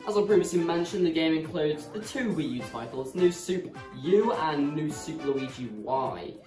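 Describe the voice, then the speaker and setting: A young man presents a scripted, comedic game review with lively intonation. He sounds roomy and away from the microphone, with clear music behind him. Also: on the high side, normal speed. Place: small bedroom, indoors.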